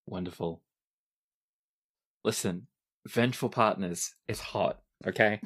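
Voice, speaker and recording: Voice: sits low, slow pace, a bit bright, breathy edge. A game master narrating a tabletop roleplaying game, telling the story in dramatic detail and dropping into casual asides.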